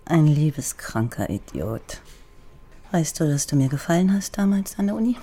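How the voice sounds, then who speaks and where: A woman's voice: low voice, big pitch swings, moderate pace, mellow, slight background noise. A woman playing a teasing, sardonic East German police lieutenant in a radio crime drama, speaking bluntly and with mocking familiarity.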